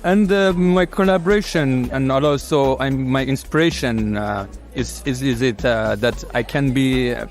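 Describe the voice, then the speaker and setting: A man from Iran, a water engineer and program fellow, telling his story in a halting soundbite full of fillers and restarts. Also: medium pitch, faint music behind. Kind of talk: recorded soundbite.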